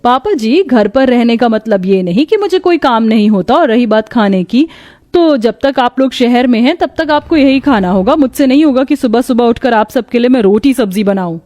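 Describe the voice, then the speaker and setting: A woman's voice: a little high, expressive, moderate pace, mellow, lo-fi sound, lightly acted. A woman voicing a selfish young city daughter-in-law in a cartoon story, haughty and dismissive. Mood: defiant.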